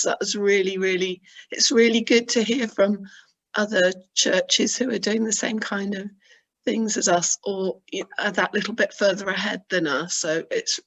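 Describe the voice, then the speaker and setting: A woman hosting an online church meeting on a video call, appreciative in tone and croaky from losing her voice. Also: medium pitch, fairly bright tone. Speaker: meeting host.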